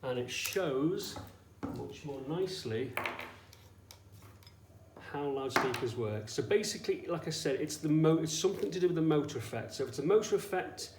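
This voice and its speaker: A man's voice: a little high, animated, normal speed. A man teaching a hands-on science demonstration in an informal, explanatory way, often checking that listeners follow with short questions. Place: indoors in an echoey room. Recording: echoey room with faint background noise.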